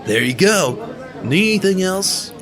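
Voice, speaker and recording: An actor playing a friendly, talkative young bartender with a laid-back manner, in an audio drama with music underneath. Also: male voice, sits high, sing-song, unhurried, a crowd in the background. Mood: easygoing. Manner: laid-back, talkative, says bro a lot. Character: friendly chatty male bartender who calls everyone bro.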